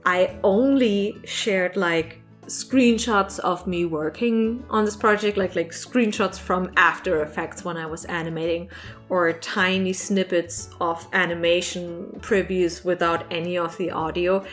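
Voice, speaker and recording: A woman creator hosting a casual question-and-answer vlog, speaking in a chatty, emphatic, animated way. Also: lowish voice, a little slow, faint music behind. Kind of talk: casual talk to camera.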